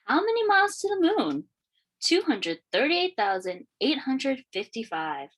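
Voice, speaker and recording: Lady reading a kids book out loud, highish voice, really sing-songy up and down, expressive. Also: rough recording. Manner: expressive, questioning lifts and deliberate phrasing. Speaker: read-aloud storyteller, teacher. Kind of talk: children's picture book read-aloud.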